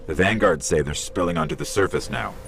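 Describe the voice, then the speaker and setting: A man's voice: low-pitched, lively intonation, a little fast, creaky, fairly bright tone, heavily acted. A man voicing a small, earnest robotic AI companion in a video game, briefing in a clipped, urgent way. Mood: briskly briefing. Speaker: companion guide. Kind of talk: scripted video game dialogue.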